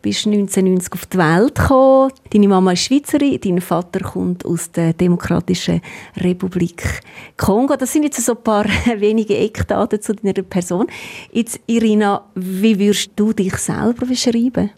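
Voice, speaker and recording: A woman's voice: medium pitch, moderate pace, a little mellow. A woman with a Swiss German accent interviewing a singer in a basement rehearsal room, friendly, with follow-up questions. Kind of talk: radio interview.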